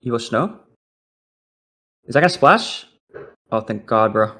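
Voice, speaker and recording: A young man streaming a game live, reacting excitably and swearing as he plays. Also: mid-range voice, measured pace. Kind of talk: live gaming commentary.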